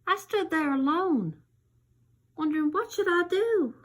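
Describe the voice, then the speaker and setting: A woman's voice: very high-pitched, lively intonation, measured pace, fairly bright tone, pitched above the performer's own voice, full character voice. A woman voicing a little zombie ballerina girl, dramatic and eager, in a theatrical children's storybook reading. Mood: wistful, building.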